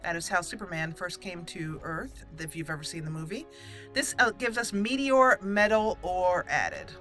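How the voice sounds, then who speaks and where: Female let's play host, chatty and informal, forward bright tone, thinks out loud while playing. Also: fairly low pitch, lively intonation, normal speed, faint music behind. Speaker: gaming channel host. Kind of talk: casual let's play commentary.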